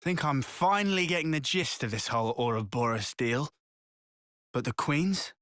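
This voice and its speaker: An actor's brash young soldier, swooping in pitch. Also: lively intonation, moderate pace, fairly bright tone, no room sound, studio quality, lightly acted. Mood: curious. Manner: colloquial, questioning, impatient. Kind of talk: video game cutscene acting. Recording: music behind the voice.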